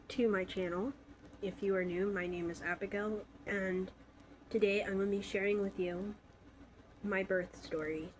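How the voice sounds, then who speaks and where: A woman's voice: fairly low pitch, slight background noise, rough recording. A woman in her twenties from Alabama, stuffy from a cold, telling her own story in a casual, hesitant vlog with many fillers. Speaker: vlogger.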